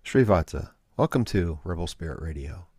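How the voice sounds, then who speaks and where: A man's voice: low-pitched, animated, moderate pace, a little mellow, husky, dry recording, studio quality. A man hosting a spirituality podcast, reading his intro and then asking questions with frequent ums and uhs. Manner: hesitant, many ums and uhs. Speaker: podcast host. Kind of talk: podcast interview.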